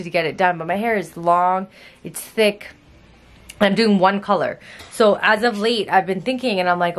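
A young woman vlogging from her small bedroom, talking to a handheld camera in a rambling, chatty way and swearing freely. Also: lowish voice. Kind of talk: casual chat to camera. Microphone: handheld camera at arm's length.

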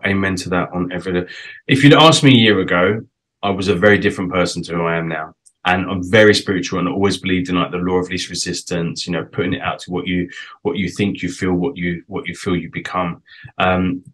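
Deep-voiced British man hosting a call. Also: fairly even intonation, a little fast, fairly bright tone. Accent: British English. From Britain. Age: 40s. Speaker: interview host. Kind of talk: casual video call interview. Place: home room, indoors. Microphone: webcam on a video call.

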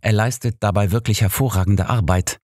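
A man voicing a devout, candid and measured male pastor in an audiobook. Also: on the low side, a little mellow, no echo, studio quality, voice pushed up, close to an everyday voice. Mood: earnest.

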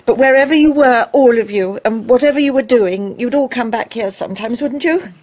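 A woman's voice: fairly high pitch, fairly quick, a little mellow, lightly acted. An actress playing a proud, fond, domineering middle-aged mother in a period radio play, with a grand, fussing manner. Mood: fond, wistful.

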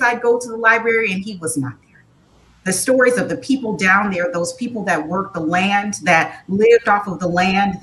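A woman in her thirties with an American accent speaks on a remote panel in an articulate, earnest way. Her voice sits a little low but has a bright, forceful edge. It comes over a video call with faint noise and rumble. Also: rough recording. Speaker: museum community engagement panelist. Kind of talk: panel discussion remarks. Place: small furnished room at home, on a video call.

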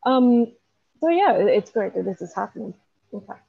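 A woman's voice: medium pitch, animated, normal speed, vocal fry, dark tone, muffled recording, slight background noise. A young woman giving a chatty, hesitant introductory talk as a guest lecturer, with many ums and restarts. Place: dim room at home, lamp behind. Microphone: webcam on video call.

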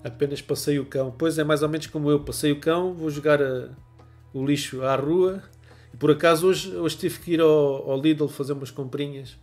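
A man's voice: on the high side, steady tone, moderate pace, a little mellow, faint music behind. A man in his forties with a European Portuguese accent, chatting casually on a livestream with a cold, laughing often and greeting viewers by name, recorded on a wired earphone mic in a small home room. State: has a cold. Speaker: photography livestream host. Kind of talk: casual livestream chat reading viewer comments.

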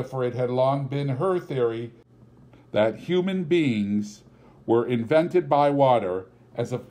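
A man's voice: medium pitch, mellow. A man reads literary fiction aloud as an audiobook narrator.

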